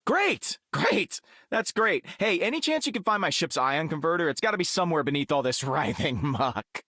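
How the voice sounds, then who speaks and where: A male actor playing a cheeky, fast-talking space adventurer in a video game, sarcastic and excitable. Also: a little high, no echo, exaggerated voice. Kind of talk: video game character acting.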